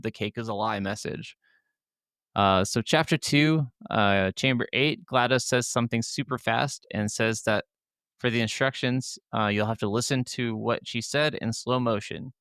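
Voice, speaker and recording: A man's voice: fairly low pitch, fairly bright tone, smooth, no room sound, clean studio sound. A man co-hosting a gaming podcast, talking casually. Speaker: podcast co-host.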